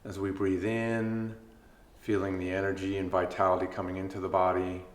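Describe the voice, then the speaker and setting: A man leading a guided body scan meditation as a mindfulness instructor, speaking gently with unhurried pauses between phrases. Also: low-pitched, flat delivery. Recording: echoey room, faint background noise.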